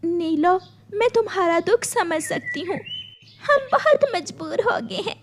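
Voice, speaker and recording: A woman's voice: very high-pitched, lively intonation, a little slow, slight background noise, pitched above the performer's own voice. A woman voicing a kind, poor female bird farmer in a cartoon, speaking earnestly and imploringly. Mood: sorrowful.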